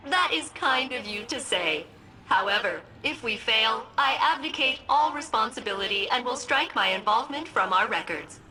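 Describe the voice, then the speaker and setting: An actress voicing a quirky, flippant female ship AI that speaks in a crisp, deadpan way. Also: on the high side, normal speed, a little hiss or hum, rough recording. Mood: dry. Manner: crisp, clipped, deadpan sarcasm. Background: game music score.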